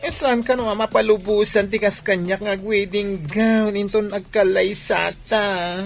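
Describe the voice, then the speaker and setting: A woman's voice: medium pitch, steady tone, unhurried, gravelly, soft background music. A woman playing a stubborn young sweetheart in an Ilocano-accented radio drama. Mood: bickering. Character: stubborn, teasing young sweetheart planning to marry.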